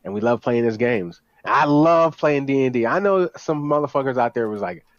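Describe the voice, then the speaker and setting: A man's voice: medium pitch, expressive, moderate pace, no room sound. A man chatting casually as a podcast guest and tabletop player, rambling and repeating himself in a slightly lo-fi recording. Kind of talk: casual chat. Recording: slightly dull, lo-fi sound.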